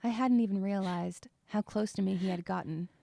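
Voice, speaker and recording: A woman's voice: medium pitch, fairly quick, vocal fry, mellow, no echo, studio quality, lightly acted. A woman playing an anxious, withdrawn young woman who narrates her own story in a dramatic, introspective and sometimes hesitant way. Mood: fearful narration. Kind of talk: first-person dramatic narration with dialogue.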